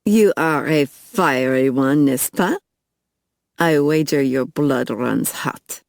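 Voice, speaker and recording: A woman's voice: very deep voice, vocal fry, a little mellow, no room sound, studio quality, full character voice. A woman voicing a plump, fussy male French vampire with a French accent, speaking in a mincing, theatrical way. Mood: silky menace. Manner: mincing, theatrical, drawn-out delivery. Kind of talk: audiobook character voice.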